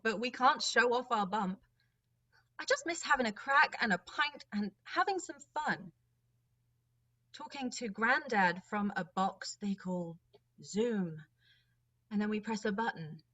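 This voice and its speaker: A woman performing a wistful narrator in a measured dramatic reading of a rhyming monologue. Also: on the high side, a bit bright, voice pushed up.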